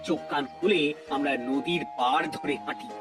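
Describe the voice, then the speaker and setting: A man's voice: a little high, moderate pace, music in the background, close to an everyday voice. A man playing a kindly, authoritative maharaja in a cartoon, with a regal, declamatory manner. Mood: commanding, curious. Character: kindly, authoritative Bengali maharaja who protects animals. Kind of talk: cartoon voice acting.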